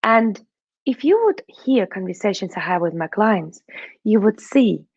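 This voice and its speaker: A woman's voice: mid-range voice, animated, a little slow, darkish tone, husky, no room sound, lo-fi sound. A woman with a Russian accent, a money mindset coach giving a motivational talk, putting questions to the listener and checking in with "right?" and "okay?".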